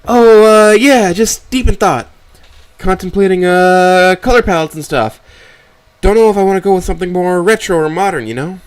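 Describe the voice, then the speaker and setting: Evasive teen daughter played by a male narrator, higher voice, halting and casual, nervously deflecting. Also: clean tone, lightly acted. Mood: flustered. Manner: hesitant, casual. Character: evasive teenage artist daughter, nervously brushing off worry.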